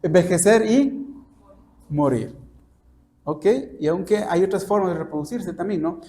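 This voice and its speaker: A man's voice: sits high, measured pace, mellow. A man preaching an expository sermon like a lesson, didactic and often checking understanding with questions. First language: Spanish. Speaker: church preacher and Bible teacher.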